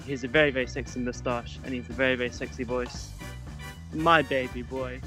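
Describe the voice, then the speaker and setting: A man's voice: medium pitch, fairly even intonation, fairly quick, over music. A young man hosting a podcast in casual chat, jokey and self-mocking, often stumbling and restarting his words. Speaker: podcast host.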